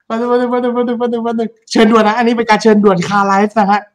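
Fast-talking, slangy male podcast co-host. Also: very high-pitched. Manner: crude, slangy, excitable. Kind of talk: casual chat.

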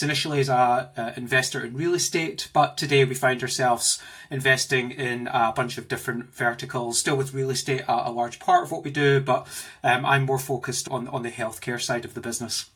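Glasgow guy, 40s, bright slightly husky voice, chatty and enthusiastic from home office. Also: mid-range voice. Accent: Glaswegian. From Scotland. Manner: animated, enthusiastic storyteller. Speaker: guest, tech executive. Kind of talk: podcast interview.

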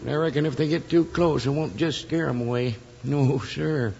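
Creaky, yappy, dim outlaw henchman. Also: moderate pace, warm tone. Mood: boastful. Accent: American. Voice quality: high, creaky voice. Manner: yappy, rambling, repeats himself, rustic slang. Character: dim, chattering, eager-to-please outlaw henchman. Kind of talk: 1950s radio western acting. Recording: echoey, dull, noisy old radio recording.

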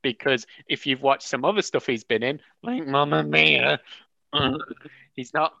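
A man's voice: a little high, unhurried, creaky, sharp tone, no echo, lo-fi sound. A man co-hosting a podcast, bantering in a jokey way and swearing casually. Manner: jokey, swears, laughs often. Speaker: podcast co-host.

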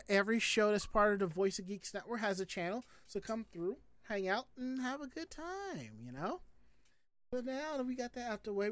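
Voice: mid-range voice, no echo. A host chatting casually and informally on a live show, talking in a chatty way. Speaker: podcast host.

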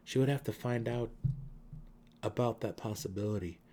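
A man giving a dramatic, theatrical reading of a fantasy novel as an audiobook narrator, with measured phrasing. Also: fairly low pitch, warm tone, slight background noise.

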